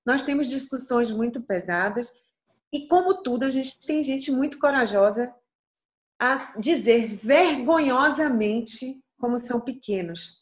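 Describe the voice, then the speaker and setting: Woman, middle-aged, Bahian accent, soft voice, slightly high pitch, reflective, earnest, interview guest. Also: expressive, measured pace. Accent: Bahian Portuguese. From Bahia, Brazil. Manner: thoughtful, earnest, frequent restarts and tag questions.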